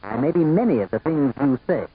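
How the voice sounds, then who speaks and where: An actor playing a sardonic, conniving campaign manager in a radio drama, with smug, needling, deliberate delivery. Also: male voice, fairly high pitch, expressive, slightly rough. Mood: quietly menacing. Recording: muffled, noisy old radio broadcast.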